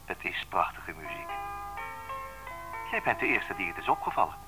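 An actor in a radio play playing a playful, mysterious man speaking deliberately on his answering machine, with music behind him. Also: sits low, sing-song, rough recording. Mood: measured, pleased. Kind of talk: radio drama acting as recorded phone message.

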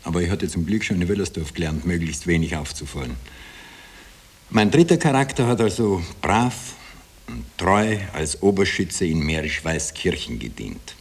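Radio-drama narrator: Austrian survivor, deep and husky, drily self-deprecating. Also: male voice, animated, moderate pace, full character voice. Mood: wry, resigned. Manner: ironic, confiding, self-deprecating storytelling. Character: wry Austrian everyman recounting his wartime survival. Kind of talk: first-person narration in 1960 radio drama.

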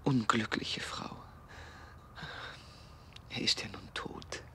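A man acting a smooth-talking, opportunistic suitor in a German radio drama, earnest and courting, with hesitant moments. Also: on the low side, slow pace, pushed and bright, whispered, full character voice. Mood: careful, probing.

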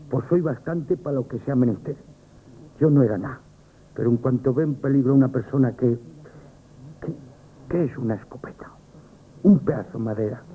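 A man's voice: mid-range voice, warm tone, lightly acted, low-quality recording. Man playing a naive, loyal shop boy. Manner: earnest, rambling, comic. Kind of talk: 1970s radio play acting. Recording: old radio broadcast with faint hiss.

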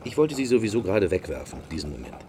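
An actor playing a mild, polite city man in a radio play, talking in an offhand, hurried way. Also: lowish voice, big pitch swings, dark tone, slight background noise. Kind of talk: naturalistic radio play dialogue.

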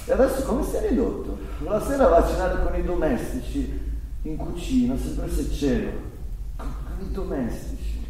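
A man's voice: on the high side, sing-song, dark tone. Echoey, distant actor playing nervous, bitter young man. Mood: agitated, scornful. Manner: halting, nervous, sardonic. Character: agitated, bitter 25-year-old son of exiled Nazi. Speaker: stage actor. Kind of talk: live staged reading of a play, theatrical monologue and dialogue. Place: theatre stage, recorded from a distance. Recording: very echoey stage, distant mic, faint noise.

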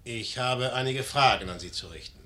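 An actor playing an imperious, impatient young general with a curt, commanding manner in a 1950s German radio play. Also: male voice, mid-range voice, normal speed, pushed and bright, close to an everyday voice. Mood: cold, impatient.

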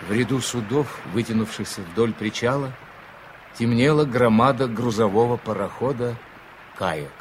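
A middle-aged man narrating an old radio drama in a measured, solemn manner. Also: mid-range voice, slight background noise, low-quality recording.

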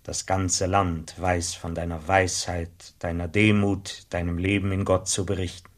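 An actor playing a formal, solemn bishop who welcomes a famous monk in a radio play. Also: male voice, low-pitched, flat delivery, measured pace. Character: dignified provincial bishop, formal and flattering.

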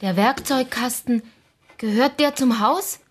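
Schauspielerin als helles, neugieriges, höfliches Mädchen. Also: female voice, fairly high pitch, unhurried, slight background noise, lightly acted. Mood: anxious. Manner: polite, questioning, soft. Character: polite, curious, naive teenage girl. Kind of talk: German radio play acting.

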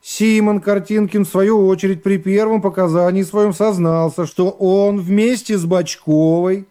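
A man playing a court secretary in a radio play, reading out an indictment in a formal, drawn-out official manner. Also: high-pitched, fairly even intonation, dark tone. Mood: solemn, official. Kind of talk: radio play character acting.

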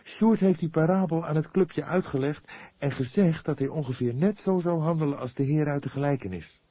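Man voicing a devout young woman, raised pitch. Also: dark tone, husky, no echo. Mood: devout, earnest. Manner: solemn, pious, deliberate. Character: devout, earnest, grief-stricken young woman.

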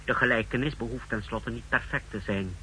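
An actor playing a precise, deferential secret-service laboratory chief in a 1960s radio drama, with a formal, clinical manner. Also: male voice, mid-range voice, steady tone, a little fast, sharp tone, a little hiss or hum, low-quality recording. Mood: deferential, careful. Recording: vintage 1960s radio recording.

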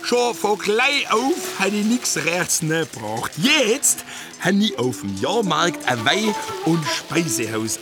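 Boastful cook hawking dishes, high and slow, singsong, broken German. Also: male voice, exaggerated voice. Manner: singsong sales patter, hesitant fillers. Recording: radio play with music behind.

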